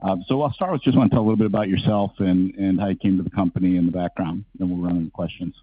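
A man moderating a conference session, introducing the company and asking questions briskly. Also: sits low, steady tone, husky, no room sound. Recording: dry, dull lo-fi sound.

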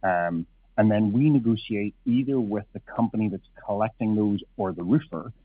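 Irish man, middle-aged, low-pitched, halting speech, dry tinny phone-like sound. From Ireland. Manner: halting, many restarts and fillers. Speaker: company CEO presenting. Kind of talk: conference presentation Q&A. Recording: husky voice over a tinny phone-like line.

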